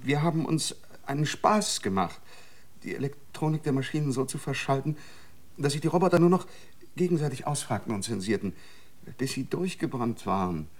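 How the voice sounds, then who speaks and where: A man's voice: medium pitch, animated, normal speed, lightly acted. An actor in a radio drama playing a rambling, regretful man who reminisces in a hesitant, conversational way. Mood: reminiscing. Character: rambling, regretful man recalling his lost world.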